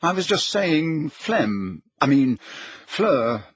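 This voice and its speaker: A man's voice: a little high, sing-song, slow, breathy edge, no echo, dull audio, voice pushed up, exaggerated voice. A man voicing a curious, hesitant teenage boy with a questioning manner in an audiobook story.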